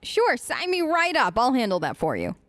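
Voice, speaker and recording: Higher-voiced woman, close and dry. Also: huge pitch swings, moderate pace, fairly bright tone, no echo. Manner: conversational, musing aloud with rhetorical questions. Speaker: let's player who role-plays her character. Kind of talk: reading aloud and chatty gameplay commentary.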